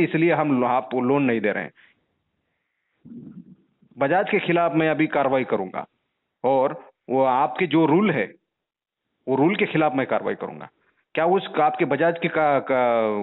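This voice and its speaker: A man making an insistent, indignant complaint as a journalist over the phone, with repeated pointed questions. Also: fairly high pitch. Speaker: journalist caller complaining to a call centre. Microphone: telephone. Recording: muffled narrow-band phone call recording.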